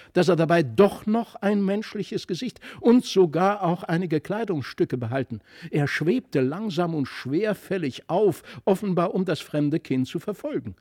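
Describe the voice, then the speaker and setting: Audiobook narrator, male: expressive fairy-tale storytelling, highish voice, dry sound. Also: no room sound.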